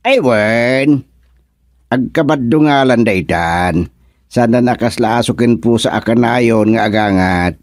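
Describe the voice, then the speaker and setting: A man playing a lively, chatty village man in an Ilocano radio comedy. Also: mid-range voice, takes it slowly, no room sound, studio quality, natural voice. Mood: jovial. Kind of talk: Ilocano radio drama acting.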